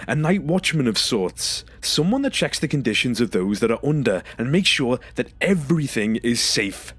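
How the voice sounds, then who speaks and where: A man's voice: medium pitch, very animated, normal speed, a bit bright, breathy edge, no echo, soft background music. A man playing a traumatised, confrontational former stasis patient in an audio drama, speaking emphatically and demanding accountability. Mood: resolute.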